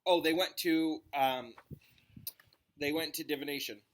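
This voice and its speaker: A male teacher narrating a storybook aloud in an expressive, informal way. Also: fairly high pitch, unhurried.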